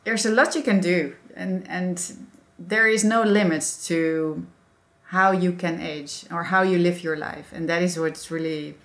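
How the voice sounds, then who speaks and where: A middle-aged Dutch woman with a Dutch accent, a podcast guest talking reflectively with halting restarts. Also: fairly low pitch, steady tone, a bit bright. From Netherlands. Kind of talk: casual interview chat.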